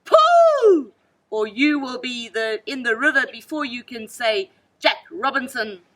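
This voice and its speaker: A woman's voice: mid-range voice, sing-song, very slow, fairly bright tone, recorded from a distance, voice pushed down, heavily acted. A woman voicing a bossy male python snake who calls out commands in a storybook. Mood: urgent, commanding.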